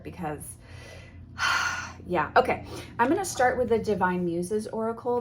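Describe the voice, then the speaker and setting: A woman's voice: mid-range voice, noisy background. A woman chatting casually and effusively to her viewers as a tarot vlogger, a little sniffly from allergies. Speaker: tarot content creator. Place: home.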